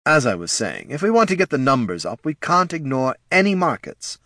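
A man's voice: mid-range voice, sing-song, fairly quick, bright, forceful tone, dry recording, studio quality, voice pushed up. A man voicing a brash, callous undead skull who talks like a pushy marketing boss in a fantasy audiobook. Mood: dismissive. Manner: glib, pushy salesman patter.

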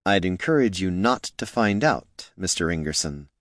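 A man voicing a smooth, menacing male crime boss who speaks coolly and quietly. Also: low voice, lively intonation, moderate pace, no echo, studio quality. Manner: cool, quiet, threatening.

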